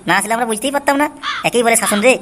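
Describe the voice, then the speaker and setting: A man's voice: very high-pitched, very fast, heavy background noise. A man playing a mocking, taunting friend in a comic cartoon. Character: teasing, mocking male friend of the husband. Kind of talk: amateur comic cartoon dubbing.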